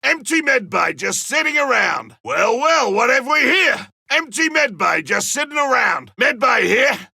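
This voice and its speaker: Gravelly, forceful monster hunter. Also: male voice, extremely high pitch, sing-song, measured pace, dry recording, exaggerated voice. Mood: pleased, brisk. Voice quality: gravelly, raspy. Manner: blunt, wry, barking. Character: gruff male monster hunter, wry, battle-hardened loner. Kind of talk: video game combat callouts.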